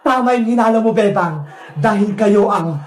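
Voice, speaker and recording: A woman's voice: medium pitch, darkish tone, reverberant, pitched below the performer's own voice, natural voice. A woman voicing a wicked canteen vendor in a children's cartoon story, menacing and gloating as she scolds. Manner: gloating, scolding, drawn-out threats. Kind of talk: children's animated story villain.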